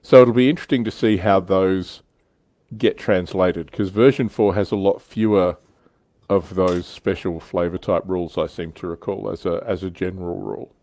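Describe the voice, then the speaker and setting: A man's voice: deep voice, fairly even intonation, normal speed, darkish tone, no room sound. A man hosting a casual panel discussion about tabletop wargaming. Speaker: livestream host. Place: indoors, online livestream.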